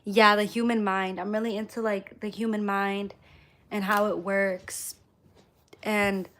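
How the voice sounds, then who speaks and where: A woman's voice: medium pitch, little pitch movement, measured pace, a bit bright. Emphatic woman talking casually on a livestream. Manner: emphatic, repeats phrases for emphasis. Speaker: live streamer. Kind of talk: casual motivational livestream talk to viewers.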